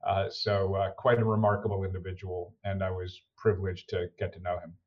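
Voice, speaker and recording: A middle-aged American man with a fairly low voice answers as an executive guest on a podcast. He talks conversationally, pausing often as he finds his words. The recording is slightly dull. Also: level tone. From United States. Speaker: interview guest, senior executive.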